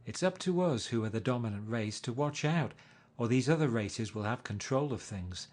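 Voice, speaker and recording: A man's voice: mid-range voice, expressive, moderate pace, a little mellow, natural voice. Brief: male reader as a blustering, self-important rich man, insistent, lecturing tone.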